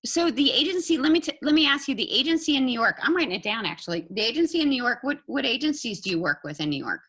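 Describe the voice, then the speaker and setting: A woman host with a husky, breathy edge to a bright voice that leaps around in pitch. She is eager and exclamatory, interrupting herself to rephrase her questions. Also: sing-song, fast. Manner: enthusiastic, exclamatory, restarts often. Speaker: podcast host, modeling agent. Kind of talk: casual interview.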